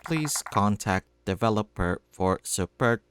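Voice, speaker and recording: A man's voice: on the low side, lively intonation, measured pace, a bit bright, clear, resonant tone, dry recording. A man streaming a game and reading its on-screen text aloud as scripted narration. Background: background music.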